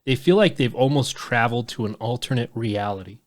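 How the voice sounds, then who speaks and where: A man's voice: mid-range voice, fairly quick. A man in his thirties hosting a podcast, talking in a loose, rambling way and swearing freely. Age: 30s. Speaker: podcast host. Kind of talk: casual podcast chat.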